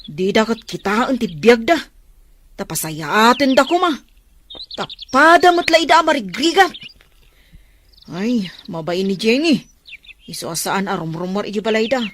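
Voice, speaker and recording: A woman's voice: fairly high pitch, expressive, measured pace, pauses often, a bit bright, slight background noise. A woman playing a frank, concerned friend in an audio drama, chatty and reproachful. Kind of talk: Ilocano audio drama dialogue.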